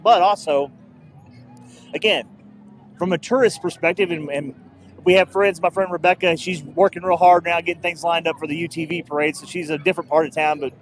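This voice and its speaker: A man's voice: sits high, slight background noise, poor audio. A man hosting a live street broadcast outdoors on a handheld mic, rambling and joking. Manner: rambling, upbeat, jokey. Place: outdoors at a loud street festival. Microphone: handheld microphone.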